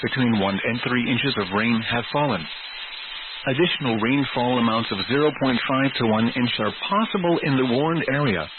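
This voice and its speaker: A man's voice: medium pitch, normal speed. A man reading scripted emergency weather bulletins in a formal, clipped, synthetic-sounding way over weather radio. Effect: weather radio broadcast. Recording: noisy, narrow phone-like radio signal.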